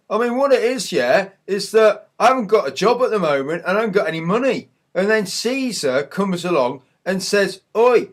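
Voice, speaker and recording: Man playing a grumbling high-pitched working bloke. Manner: chatty, grumbling, rhetorical questions. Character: aggrieved, down-on-his-luck working man Joseph, young husband-to-be. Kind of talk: mock news interview monologue.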